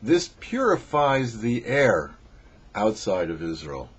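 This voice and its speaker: Rabbi giving a weekly Torah talk: a man with an animated, husky voice, slow emphatic phrasing. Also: on the low side, muffled recording. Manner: measured, lively teaching delivery with slow emphatic phrases. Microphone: studio microphone.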